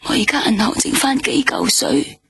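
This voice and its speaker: An actress playing a weary young nightclub hostess in a radio drama, speaking Hong Kong Cantonese, tired and defensive, sometimes dropping to a whisper. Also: female voice, sits high, expressive, normal speed, a bit bright, breathy edge, heavily acted. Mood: weary, bitter.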